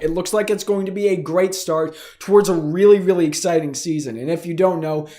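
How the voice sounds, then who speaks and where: A man with a hoarse, fading voice after the flu, hosting a weekly fan news show in a casual, eager way and talking straight to his viewers. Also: on the high side, darkish tone. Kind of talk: casual news commentary to camera.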